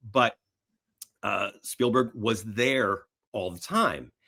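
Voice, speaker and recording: A man's voice: fairly low pitch, slow, vocal fry, sharp tone. Emphatic male film storyteller. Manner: emphatic storyteller, often voicing quotes. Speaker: podcast guest, film industry veteran. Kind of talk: casual anecdotal film-history conversation.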